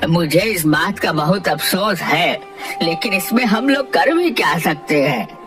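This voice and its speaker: Grave village elder for a cartoon, female performer, very slow and lowish, music underneath. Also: animated, far from the mic. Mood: sympathetic. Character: solemn village elder telling of a haunted temple.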